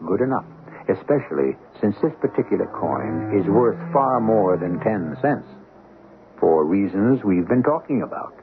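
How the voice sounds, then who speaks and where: An actor hosting a 1970s radio mystery as an urbane, philosophical storyteller, with deliberate, wry, literary delivery. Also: male voice, on the low side, big pitch swings. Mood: wry, portentous. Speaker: host and narrator of radio mystery show. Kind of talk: theatrical scripted narration, 1970s radio. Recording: phone-like vintage radio recording with music.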